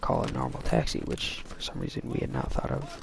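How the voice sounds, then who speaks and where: A young adult man telling a personal story casually, in a rambling, halting way. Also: very noisy.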